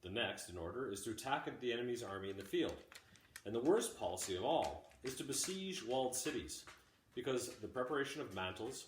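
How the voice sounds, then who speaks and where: A man reading a classic text aloud like a teacher, in measured, deliberate phrases. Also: on the low side, a little hiss or hum. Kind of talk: audiobook-style read-aloud of a classic text. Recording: roomy, echoey home recording.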